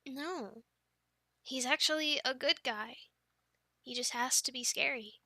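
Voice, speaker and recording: Woman acting a high, bright-voiced playful young friend. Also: unhurried, dry recording, studio quality, heavily acted. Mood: sheepish, eager. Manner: expressive, teasing, breaking into breathy near-whispers. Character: playful young woman friend, pouty, defensive, telling campfire stories. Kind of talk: ASMR roleplay.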